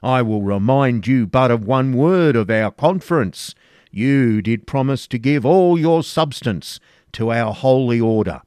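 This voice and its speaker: A man's voice: medium pitch, expressive, measured pace, a little mellow, dry recording, studio quality, lightly acted. A man voicing a burly, belligerent medieval friar in a storybook reading, bombastic and archaic in his speech. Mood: stern, accusing.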